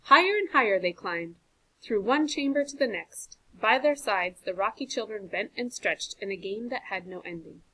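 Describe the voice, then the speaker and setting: A woman teacher reads a chapter book aloud to her class. Her narration is clear and expressive, carried by a bright voice at an ordinary pitch. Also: normal speed, low-quality recording. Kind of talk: storybook read-aloud for a class.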